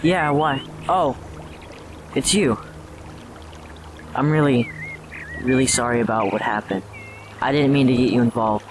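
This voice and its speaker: A man's voice: mid-range voice, a little slow, long pauses, noisy background, lightly acted. A man playing a troubled teenage boy, anguished and defensive as he struggles with a possessing voice, in an audio drama. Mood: sheepish, apologetic. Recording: voice over prominent music.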